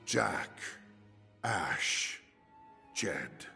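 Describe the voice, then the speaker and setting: Solemn video game king: unhurried, widely inflected delivery, formal and commanding. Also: low voice, animated, gravelly, pushed and bright, big-room sound, faint music behind, full character voice. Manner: stately, formal, deliberate. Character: solemn, regal male ruler entrusting heroes with his kingdom. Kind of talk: video game cutscene acting.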